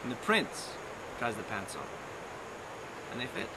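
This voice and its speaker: A man's voice: medium pitch, big pitch swings, vocal fry. A young man telling a bedtime story as a live theatrical performance, dramatic and playful, drawing out and repeating phrases. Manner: dramatic, drawn-out, playful repetition. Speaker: narrator. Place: outdoors on a lawn. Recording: noisy outdoor amateur live recording.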